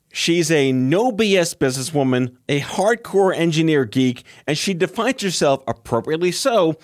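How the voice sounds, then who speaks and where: This is a man hosting an interview podcast in a loose, joking way, swearing now and then without a second thought. His pitch swings widely through each line. A faint music bed sits under his voice. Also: dry recording, studio quality. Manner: irreverent, joking, swears freely. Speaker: podcast host. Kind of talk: casual interview chat.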